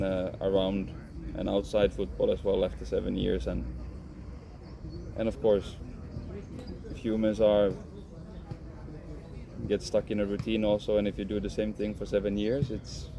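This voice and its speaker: A man in his thirties answers interview questions, his low voice gravelly and fairly flat. He speaks calmly and frankly, often restarting as he thinks. The recording carries clear background noise. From Finland. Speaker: professional footballer. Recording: noisy background.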